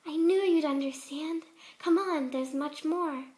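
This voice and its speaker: A woman voicing a shy, gentle young female pegasus pony, bashful, hesitant and polite, in a cartoon fan story. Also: high voice, unhurried, voice pushed up. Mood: reassuring, pleased.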